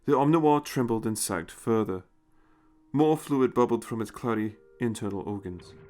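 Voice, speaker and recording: A man's voice: medium pitch, normal speed, darkish tone. Man narrating a dramatic story, music behind.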